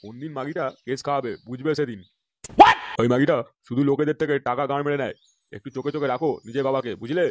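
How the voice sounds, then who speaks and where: Fast-talking gossipy old uncle played by a man. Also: mid-range voice, a little mellow, natural voice. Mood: warning. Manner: insinuating, cautioning. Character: gossipy, meddling older neighbourhood uncle. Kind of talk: comedy cartoon dub.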